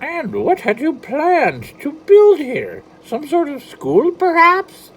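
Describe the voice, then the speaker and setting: A storyteller voicing a small, angry male leprechaun who is touchy and proud, speaking slowly and indignantly. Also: high-pitched, huge pitch swings, voice pushed up, heavily acted. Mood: scoffing. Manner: indignant, slow and deliberate.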